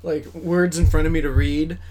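A man's voice: fairly high pitch, level tone, moderate pace, dark tone, a little hiss or hum. A man chatting casually and playfully as the host of a podcast. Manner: playful, jokey. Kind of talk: casual chat.

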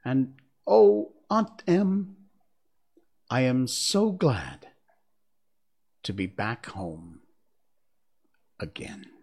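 A man's voice: on the low side, huge pitch swings, breathy edge, voice pushed down, full character voice. A man reading a storybook, voicing an earnest young farm girl with heartfelt, grave delivery. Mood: earnest, glad. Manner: slow, grave, heartfelt exclamations.

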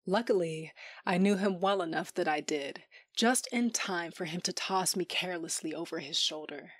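A woman's voice: fairly low pitch, no room sound, clean studio sound, natural voice. A woman playing a tough, sardonic young fighter who tells her own story intimately in an audio drama, sometimes dropping to a whisper. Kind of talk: first-person audio drama narration.

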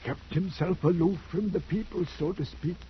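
An actor playing a broken adventurer with a wandering mind, haltingly telling his tale in 1940s radio style. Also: sits high, natural voice. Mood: wistful, haunted. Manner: halting, dazed, folksy storytelling. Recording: muffled hissy 1940s radio recording.

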